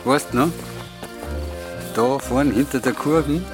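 An actor playing a fond, wry, stubborn old hiking companion with a Bavarian accent, in a naturalistic dialect radio drama. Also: male voice, medium pitch, slow pace, music in the background, close to an everyday voice. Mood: fond, reminiscing. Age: older.

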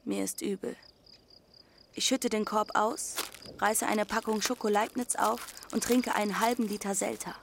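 A woman's voice: mid-range voice, steady tone, moderate pace, creaky, a little hiss or hum, lightly acted. A woman playing a defiant teenage runaway girl who narrates her journey matter-of-factly in an audio drama. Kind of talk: first-person literary narration in audio drama.

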